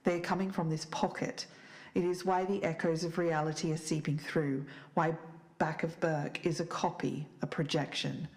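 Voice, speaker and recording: A woman playing an earnest, faintly bitter podcast investigator, speaking in a measured, solemn way as if reading evidence aloud. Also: low voice, fairly even intonation. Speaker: podcast co-host. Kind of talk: scripted fiction-podcast narration.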